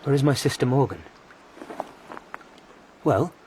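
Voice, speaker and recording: A man's voice: medium pitch, expressive, unhurried, darkish tone, natural voice. An actor playing young King Arthur, an earnest, brooding, guilt-ridden ruler in a radio drama. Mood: restless. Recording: noisy outdoor ambience behind voice.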